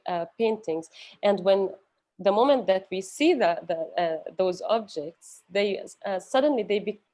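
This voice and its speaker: Woman, slightly low voice, reflective unscripted research talk, pauses to find words. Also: dark tone. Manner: hesitant, thoughtful, searching for words. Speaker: guest speaker, researcher.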